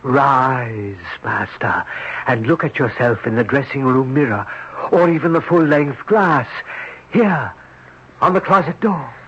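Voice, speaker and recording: An actor playing an otherworldly male servant who grants wishes, speaking in a slow, measured, formal way. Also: mid-range voice, a bit bright, husky. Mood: sinister calm. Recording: dull old radio broadcast.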